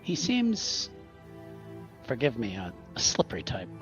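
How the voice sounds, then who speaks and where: A man's voice: mid-range voice, huge pitch swings, a little slow, a bit bright, soft background music. A man playing a tabletop roleplaying game, talking in casual, improvised conversation, on a slightly lo-fi recording.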